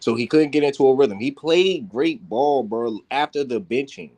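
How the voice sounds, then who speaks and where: A man's voice: mid-range voice, moderate pace, darkish tone. A man in a casual, heated sports debate, talking insistently with lots of slang and swearing. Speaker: sports talk panelist.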